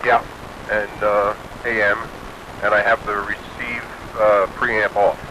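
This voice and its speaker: Low-pitched man on a noisy radio. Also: little pitch movement, takes it slowly. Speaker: amateur radio operator. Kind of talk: casual radio check. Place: two-way radio link. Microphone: stock radio microphone. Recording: noisy two-way radio transmission, stock mic.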